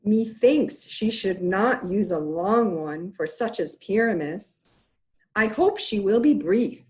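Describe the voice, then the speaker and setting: A woman's voice: mid-range voice, expressive, takes it slowly, a little mellow. A woman playing a dryly critical noble lady in an amateur Shakespeare table read over a video call. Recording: home video call recording.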